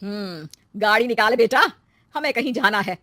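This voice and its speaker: A woman's voice: mid-range voice, normal speed, fairly bright tone, no room sound, studio quality, natural voice. A woman voicing a shrewd older mother-in-law in a dramatized family story, emphatic, commanding and caring. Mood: shrewd, firm. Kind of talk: acted story dialogue.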